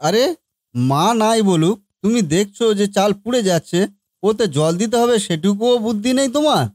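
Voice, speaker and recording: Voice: medium pitch, animated, moderate pace, a little mellow, dry recording, studio quality, lightly acted. An actor voicing an exasperated young husband in a comedy cartoon, talking in a reproachful, resigned way. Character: exasperated young husband, office-going son, scolding his wife. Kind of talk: Bengali comedy cartoon dialogue.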